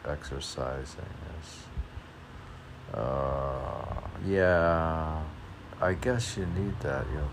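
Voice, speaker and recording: A man reading his poems and commenting off the cuff in a rambling, halting way, asking himself questions. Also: very deep voice, creaky, noisy background. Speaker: poet and podcaster reading own work.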